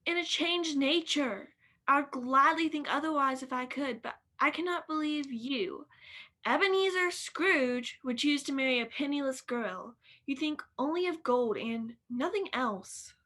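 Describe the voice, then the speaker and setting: A woman's voice: high voice, unhurried, pushed and bright. A young woman playing a sorrowful, dignified fiancée in a radio play. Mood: sad, reproachful.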